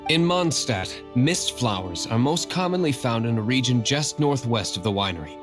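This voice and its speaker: A man's voice: mid-range voice, animated, moderate pace, natural voice. Guy doing aloof broody young noble from a game, terse n formal, keeps it composed, music behind him. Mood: calm, calculating. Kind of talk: video game character acting.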